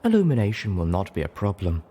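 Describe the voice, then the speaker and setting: A man's voice: low voice, wildly swooping pitch, quick pace, warm tone, full character voice. A man voicing a refined, insistent female pony singer in a story, prim, wry and a little condescending.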